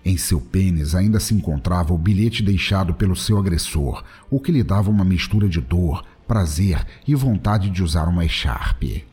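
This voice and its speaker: Husky, low-voiced male narrator. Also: expressive, moderate pace, few pauses, a little mellow, faint music behind. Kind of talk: scripted audio drama narration.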